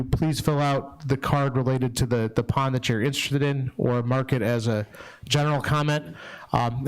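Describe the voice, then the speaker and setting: A man's voice: medium pitch, a little mellow, rough recording. A man hosting a public town meeting, introducing speakers casually with lots of ums and restarts. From not from Massachusetts. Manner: casual, many fillers and restarts.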